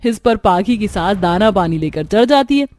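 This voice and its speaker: A woman's voice: medium pitch, expressive, normal speed, darkish tone. A woman storyteller giving scripted narration for a cartoon story. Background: faint music.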